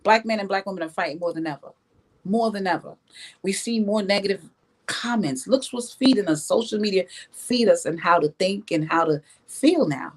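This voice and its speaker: A woman's voice: medium pitch, normal speed. A woman from Jamaica giving opinions in a podcast discussion, speaking emphatically and repeating phrases to drive them home. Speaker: podcast guest.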